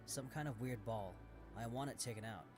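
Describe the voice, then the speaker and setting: A man's voice: mid-range voice, normal speed, over music, close to an everyday voice. An actor playing a cheerful, eager young male detective in an anime visual novel, with a playful manner. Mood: matter-of-fact. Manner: enthusiastic, playful.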